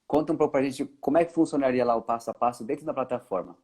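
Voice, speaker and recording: Husky Brazilian man hosting an interview. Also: mid-range voice, rapid-fire, a little mellow. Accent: Brazilian Portuguese. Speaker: interview host. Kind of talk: casual interview.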